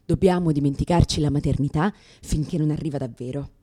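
A woman's voice: sits low, a little fast, mellow. An actress in a radio theatre monologue playing a brash, sarcastic, exasperated new mother in her thirties. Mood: indignant, sardonic. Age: 30s.

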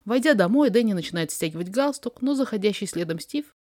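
A narrator reading a fiction story aloud in an expressive, dramatized way. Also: medium pitch, a little fast, no echo, studio quality. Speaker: podfic reader, narrator.

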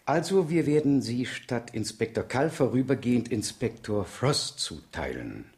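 An actor playing a courteous police superintendent in a 1970s radio crime drama, formal and brisk but awkward on delicate matters. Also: male voice, medium pitch, very animated, a little mellow. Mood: awkward, confiding.

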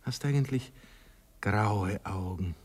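An actor playing a smooth-talking, prying Viennese seducer past thirty in a radio play, speaking hesitantly and insinuatingly. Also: male voice, fairly low pitch, slow pace. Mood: tender, wistful. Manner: hesitant, insinuating, repeating words. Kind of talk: German-language radio play acting.

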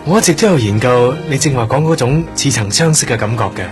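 A man's voice: mid-range voice, animated, fast, faint music behind, natural voice. A man with a Hong Kong accent playing an earnest, polite young trainee doctor in a radio drama. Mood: earnest, apologetic.

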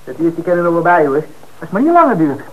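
An actor playing a cheeky young suspect who mocks the police commissioner in an old radio play. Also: male voice, fairly high pitch, big pitch swings, fast, phone-quality sound. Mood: mocking.

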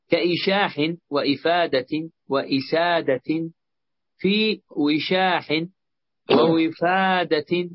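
A man, a student, reading a set text aloud in class, slow and measured. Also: a little high. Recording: dull lo-fi recording.

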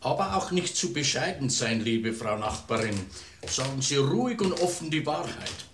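A man's voice: mid-range voice, normal speed, distant mic, noisy background, natural voice. Man acting a genial, sly village priest, echoey. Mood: soothing. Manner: calm, soothing, confiding. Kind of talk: comic radio drama acting. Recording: echoey room, off the mic.

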